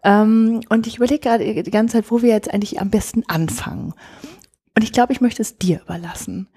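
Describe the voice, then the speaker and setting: Mellow-voiced female podcast host, husky. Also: on the high side, steady tone, brisk, no echo, studio quality. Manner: hesitant, many fillers and restarts. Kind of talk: conversational interview. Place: coworking space.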